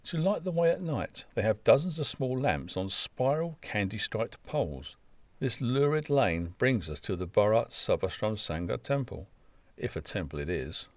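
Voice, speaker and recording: A man's voice: on the low side, animated, moderate pace, dry recording. A man reading a scripted travel narration in a wry, wordy, descriptive manner. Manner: wry, dry-humoured, wordy descriptive delivery.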